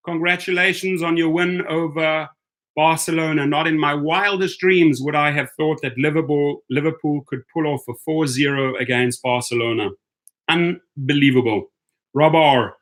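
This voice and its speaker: A man hosting a casual livestream, upbeat, greeting viewers and repeating his phrases. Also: a little high. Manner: upbeat, repeats phrases, gives shout-outs. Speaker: livestream host.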